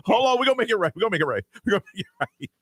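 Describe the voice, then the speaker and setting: A man's voice: high voice, big pitch swings, rapid-fire, a bit bright, breathy edge, no room sound. A man hosting a morning call-in radio show, bantering with callers in loose slang and laughing often. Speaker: radio host. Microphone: studio microphone.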